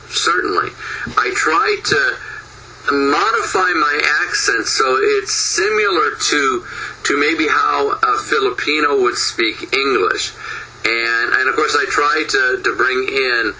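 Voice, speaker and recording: Male lecturer, very bright voice, quick with many restarts, faint hiss behind. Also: mid-range voice, poor audio. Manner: talks fast with frequent restarts. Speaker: conference presenter. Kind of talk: recorded lecture. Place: home office.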